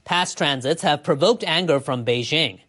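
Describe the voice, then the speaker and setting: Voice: mid-range voice, normal speed, fairly bright tone. A news reader with a mid-range voice delivers a scripted bulletin. The delivery is formal and written-out, set for international radio.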